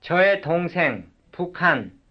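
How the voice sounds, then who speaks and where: A man reading short cue words for a language substitution drill, one at a time in a slow, measured way. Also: fairly high pitch, sing-song. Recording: dull lo-fi recording.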